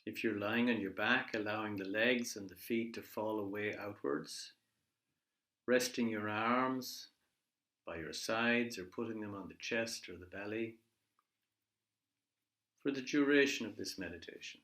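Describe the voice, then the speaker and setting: A man leading a guided body scan meditation in a measured, gently instructive way, leaving pauses between phrases. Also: lowish voice. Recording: echoey room.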